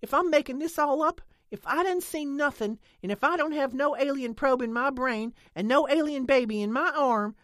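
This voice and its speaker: A woman voicing a stubborn, excitable rural woman who talks in country dialect and rambles defensively. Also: mid-range voice, unhurried, no room sound, studio quality, voice pushed up, lightly acted. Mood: defiant.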